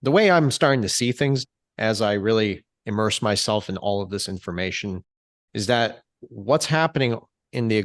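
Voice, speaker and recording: A man hosting an interview, asking measured questions and often giving short acknowledgments. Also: on the low side, dry recording, studio quality. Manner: measured, frequent short backchannels. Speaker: interview host.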